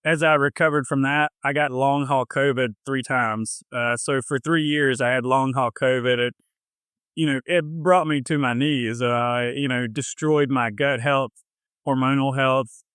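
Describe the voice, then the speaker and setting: A man with a Southern US accent telling his personal story in a casual interview, informal and a little halting in his phrasing. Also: medium pitch, steady tone, fairly bright tone, clean tone, no room sound, clean studio sound. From southern United States. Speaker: health coach, podcast guest.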